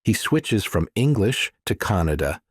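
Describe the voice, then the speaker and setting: A man's voice: on the low side, sing-song, measured pace, slightly rough, dry recording, clean studio sound. A man narrating a scripted thriller audiobook.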